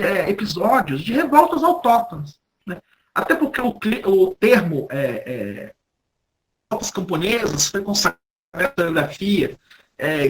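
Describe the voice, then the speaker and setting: A Brazilian man giving an off-the-cuff academic lecture as a professor, halting and often repeating or rephrasing his words. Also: sits high, sharp tone, slight background noise, rough recording. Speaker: history professor, guest lecturer.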